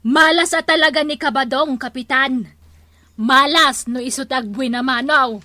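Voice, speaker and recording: An actress playing a sharp, complaining village woman in a comedic radio drama, nagging and exclamatory. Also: high-pitched, normal speed, dry recording.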